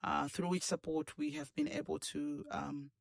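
A man's voice: on the high side, very animated, normal speed, gravelly, no room sound, studio quality. A man representing a church choir, speaking earnestly as a radio guest with frequent hesitations. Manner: earnest, hesitant with many ums, persuasive. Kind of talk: studio interview guest. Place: radio studio.

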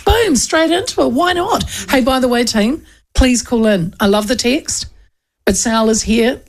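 A woman hosting a casual chat show, bubbly and talkative, laughing often and restarting her sentences. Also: fairly high pitch. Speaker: radio and online show host. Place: small studio.

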